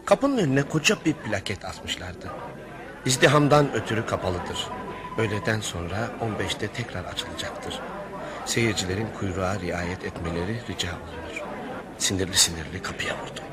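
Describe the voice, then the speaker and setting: A man's voice: low-pitched, normal speed, a loud crowd, close to an everyday voice. An actor in a radio play voicing an earnest, exasperated male clerk, polite and insistent, who stands up for his friend. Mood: narrating, exasperated. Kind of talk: radio theatre acting and first-person narration.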